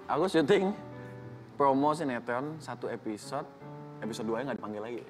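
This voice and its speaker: Young male guest from Bali, fast easygoing talker, studio music underneath. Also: mid-range voice, lively intonation. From Bali, Indonesia. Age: 20s. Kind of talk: casual talk show interview.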